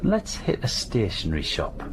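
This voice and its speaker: A man's voice: lowish voice, huge pitch swings, normal speed. Wry male detective over noisy background. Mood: businesslike. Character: male police detective, polite, wry, sceptical. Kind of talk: naturalistic radio drama dialogue.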